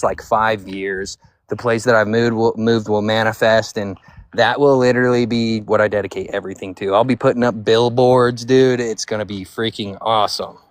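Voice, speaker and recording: A man's voice: on the low side, no room sound. A man chatting casually on a live stream as a panelist, full of bro-and-dude slang.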